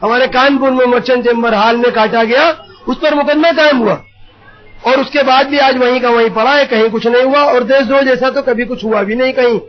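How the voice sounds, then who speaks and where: A man's voice: very high voice, steady tone, brisk. A middle-aged man answering on a live TV panel in an earnest, rambling, aggrieved way. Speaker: panel guest. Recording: dull, muffled remote TV audio.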